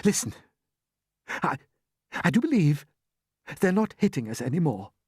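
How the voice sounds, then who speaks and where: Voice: mid-range voice, sing-song, unhurried, a little mellow, breathy edge, no room sound, clean studio sound, voice pushed up, heavily acted. A storyteller voicing a young boy, brave and level-headed, in a read-aloud storybook. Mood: alert.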